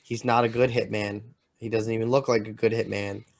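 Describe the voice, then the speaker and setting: A man chatting casually as a podcast panelist, halting and circling back on his sentences. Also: on the low side, little pitch movement, creaky. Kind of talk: casual opinion chat.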